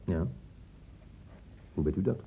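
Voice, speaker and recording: An actor playing a dry, worldly police inspector in a Dutch radio play, measured and wry. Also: male voice, warm tone, natural voice. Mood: matter-of-fact. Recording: muffled, dull old radio recording.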